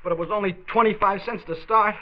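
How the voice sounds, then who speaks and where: A dull, lo-fi 1940s radio drama recording carries a man acting an anxious teenage boy. His voice sits high for a man. He is uneasy and hesitant, a youth in the grip of addiction. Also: steady tone, fast, a little hiss or hum, lightly acted. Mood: desperate.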